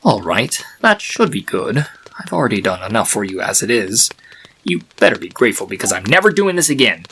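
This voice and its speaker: A man's voice: on the low side, very animated, fairly quick, a bit bright, a little hiss or hum. A man voicing a grumpy, reluctant male chaos spirit trapped in stone, exasperated and grudging, in a fan story reading. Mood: grudging, muttering.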